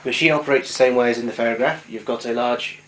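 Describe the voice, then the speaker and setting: A man's voice: medium pitch, steady tone, fast talker, fairly bright tone. A man giving an informal, hands-on equipment demonstration as a hobbyist, explaining hesitantly and often correcting himself. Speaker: vintage tape recorder hobbyist demonstrating his machine. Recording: clearly noisy recording with clicks.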